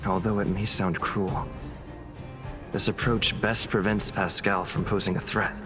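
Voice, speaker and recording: An actor playing a thoughtful young adventurer in a video game, reasoning in a measured, reflective inner monologue. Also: male voice, low-pitched, flat delivery. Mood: pensive. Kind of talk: scripted video game narration. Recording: game music behind.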